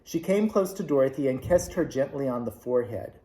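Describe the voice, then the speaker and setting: A man reading a classic children's novel aloud, with clear, expressive storytelling. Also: mid-range voice, fairly quick. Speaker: club vice president reading aloud. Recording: echoey room.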